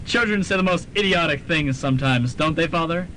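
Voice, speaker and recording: A man's voice: fairly high pitch, a little fast, sharp tone. A man playing a self-important, callous rich financier, pompous and condescending, in a radio drama. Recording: noisy amateur student radio recording.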